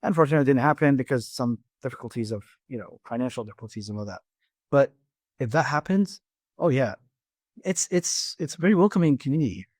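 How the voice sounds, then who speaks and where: A middle-aged man with an Iraqi Arabic accent, a guest on a podcast interview, talking haltingly with many restarts and repeated words. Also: mid-range voice, lively intonation, a little mellow, slightly rough, dry recording, clean studio sound. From Iraq. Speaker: guest, engineering firm president.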